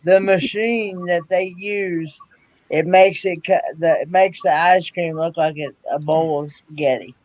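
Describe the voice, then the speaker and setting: Halting high-voiced man with slow speech. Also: fairly even intonation, darkish tone, no room sound, rough recording. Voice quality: halting, full of uh and um. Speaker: guest on a livestream chat. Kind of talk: casual conversation.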